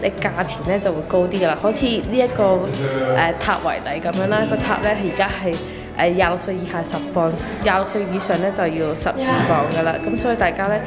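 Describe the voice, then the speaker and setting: A woman's voice: fairly low pitch, brisk, hardly any pauses, loud music behind the voice. A young woman with a Hong Kong Cantonese accent, chatting upbeat to a handheld camera while walking through an old town. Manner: chatty, upbeat, lots of filler particles. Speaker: travel vlogger. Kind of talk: casual travel vlog to camera. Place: outdoors in old town streets and squares. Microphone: camera held at arm's length.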